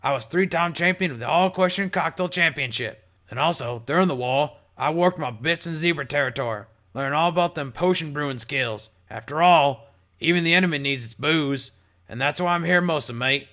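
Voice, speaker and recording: A man's voice: fairly high pitch, brisk, fairly bright tone. A man voicing a jovial old male ghoul pony bartender, chummy and calling everyone mate. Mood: friendly. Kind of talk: audiobook character voice.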